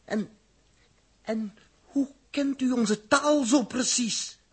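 An actor playing a defiant, indignant spaceship crewman with a husky voice, blunt and agitated, in a 1960s radio drama. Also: very high voice, takes it slowly, full character voice. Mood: angry.

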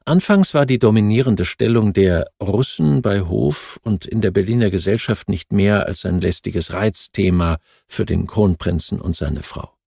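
A man reading a scripted history audiobook in a measured, formal way. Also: lowish voice, animated, creaky, mellow, breathy edge, dry recording, clean studio sound. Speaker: audiobook narrator.

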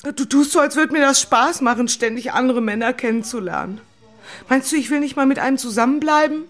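A woman's voice: high voice, brisk, lightly acted. An actress playing an emotional, impulsive young woman in love turmoil, in a dramatic radio play style. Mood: agitated, pleading. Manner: dramatic, volatile, shifting into murmurs. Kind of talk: German radio play acting. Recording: music underneath.

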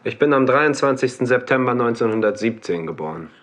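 An actor playing a guarded, laconic Mexican wrestler in a radio drama. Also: male voice, medium pitch, expressive, brisk, a bit bright, natural voice.

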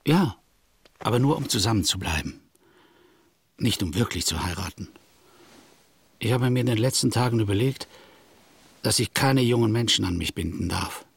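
An older actor playing a weary, gentle, heavy-hearted ageing lover in a radio play, speaking in a subdued near-whisper. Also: male voice, on the low side, lively intonation, normal speed, gravelly, long pauses, slightly rough, no room sound, heavily acted. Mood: heavy-hearted, resigned. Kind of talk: intimate radio play dialogue.

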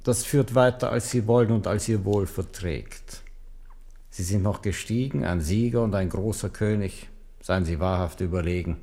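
Radio play casting: male actor as elderly papal legate, lower register, measured, formal, admonishing, falsely humble. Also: dark tone, lightly acted. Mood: veiled, admonishing. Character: bowed old papal legate, outwardly humble, coldly warning. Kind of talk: 1970s German radio drama acting.

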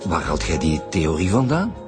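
An actor playing a gruff, exasperated middle-aged homicide squad leader, blunt and colloquial, with a Flemish accent in a radio drama. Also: male voice, deep voice, big pitch swings, moderate pace. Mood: grumbling. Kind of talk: naturalistic radio drama dialogue. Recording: radio drama with music bed.

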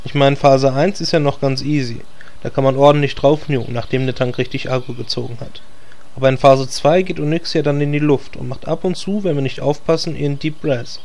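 Man as earnest gamer adventurer, mid-pitched. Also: fairly even intonation, brisk, a little mellow, lightly acted. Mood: explaining. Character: earnest, know-it-all male gamer adventurer. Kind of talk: parody fantasy audio drama.

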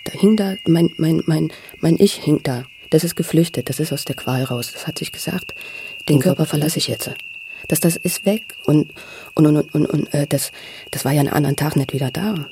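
A man giving halting personal testimony in conversation, repeating words as he searches for how to say things. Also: on the high side, gravelly, a little mellow, a little hiss or hum. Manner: stammering word repetitions, searching for words. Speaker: interviewee recounting his own experience.

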